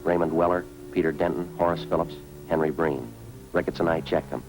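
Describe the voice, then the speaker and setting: Dogged American detective for a 1950s radio police drama. Deep, brisk, terse, matter-of-fact. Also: male voice, fairly even intonation, over music, rough recording. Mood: grim, matter-of-fact. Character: stoic, dogged male police detective, quietly worried for partner. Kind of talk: 1950s radio police drama, clipped dialogue and scripted narration.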